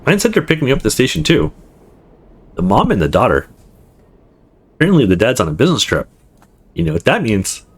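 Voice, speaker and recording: A man's voice: medium pitch, huge pitch swings, brisk, long pauses, slightly rough, exaggerated voice. A man voicing a boastful young wannabe ladies' man in a visual novel, pompous and theatrical. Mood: cheerful.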